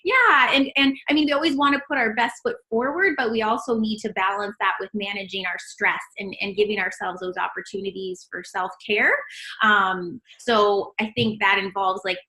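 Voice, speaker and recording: A woman's voice: medium pitch, normal speed, pushed and bright, poor audio. A woman dietitian chatting cheerfully in an interview over a call, laughing often. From Canada. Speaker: registered dietitian guest.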